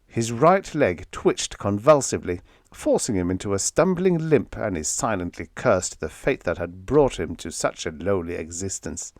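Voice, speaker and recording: A man reading scripted story narration for an audiobook in an expressive, dramatic manner. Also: low voice, normal speed, no room sound, studio quality.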